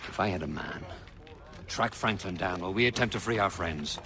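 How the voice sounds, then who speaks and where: A man playing an earnest, weary veteran rebel leader in a video game, speaking in a measured, oratorical way. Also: low-pitched, noisy background. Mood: resolute. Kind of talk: video game cutscene acting. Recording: loud background music.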